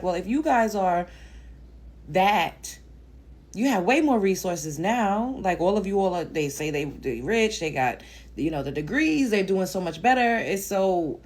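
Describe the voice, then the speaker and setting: Low-voiced blunt woman from Brooklyn. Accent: Brooklyn, New York. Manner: blunt, rambling, with fillers. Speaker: reaction video host. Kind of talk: casual commentary monologue.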